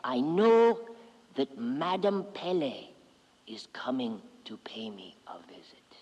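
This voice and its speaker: A man voicing a grown Hawaiian son who speaks slowly and solemnly in a low, whispery voice. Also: sing-song, a little mellow, breathy edge, in a large hall, heavily acted. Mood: hushed.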